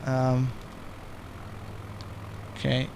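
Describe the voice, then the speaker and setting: A man with a gravelly, fry-edged voice chats loosely while streaming, speaking English. His talk is casual and meandering, full of false starts and self-corrections. His pitch sits at an ordinary middle level for a man. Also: completely flat delivery, extremely slow, a little mellow, a little hiss or hum. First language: German. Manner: rambling, with many restarts and self-corrections. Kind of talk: casual flight-sim stream commentary.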